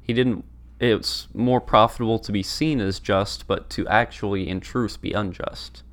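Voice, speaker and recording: A man co-hosting a discussion podcast, explaining ideas in a measured way with a few filler pauses. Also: on the low side, fairly even intonation, fairly bright tone. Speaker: podcast co-host.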